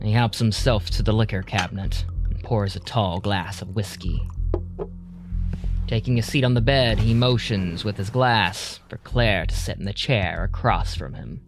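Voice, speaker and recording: A man's voice: fairly low pitch, moderate pace, vocal fry. A man narrating an audio drama in a scripted, dramatic storytelling style. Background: music.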